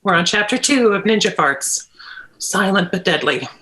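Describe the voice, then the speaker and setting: A woman's voice: medium pitch, expressive, fairly bright tone, a little hiss or hum, close to an everyday voice, low-quality recording. An actress reading a frazzled mother who insists everything is fine and barks orders at her kids in a table read. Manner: brisk, bossy, keeps brushing off pain.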